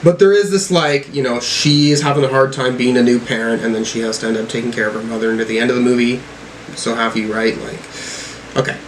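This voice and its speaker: A man's voice: mid-range voice, fairly even intonation, brisk, a little hiss or hum, rough recording. A man giving a casual music reaction as a host, musing and thinking aloud as he talks. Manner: musing, thinking aloud while reading out lyrics.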